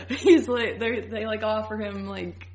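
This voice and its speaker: A woman's voice: medium pitch, slow pace. Giggly woman chatting on a podcast. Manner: giggly, animated storytelling, informal. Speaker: podcast co-host. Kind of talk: casual chat recapping a TV series.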